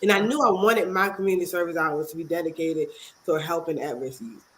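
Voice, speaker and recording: A congested woman from Maryland, speaking as a guest author and youth advocate in an interview, earnest and halting with many pauses. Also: fairly low pitch. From Maryland, USA. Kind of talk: interview talk.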